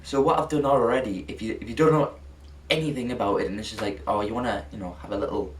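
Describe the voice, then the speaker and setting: A man presenting an informal video lesson in a halting, rambling way, often correcting himself. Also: fairly low pitch. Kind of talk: informal spoken introduction to a lesson. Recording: echoey, distant mic, faint noise.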